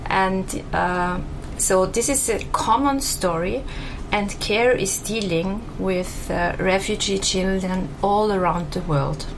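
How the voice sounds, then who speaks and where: A woman hosting an interview, speaking in a measured, clear and articulate way. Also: medium pitch. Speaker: interview host. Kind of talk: interview conversation. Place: room in Bangkok. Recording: noisy background ambience.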